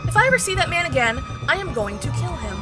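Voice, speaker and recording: A woman's voice: very high voice, sing-song, quick pace, over loud music. A woman playing a feisty, forthright young heroine who scolds indignantly in a fantasy audio drama. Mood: furious. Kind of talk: full-cast fantasy audio drama acting.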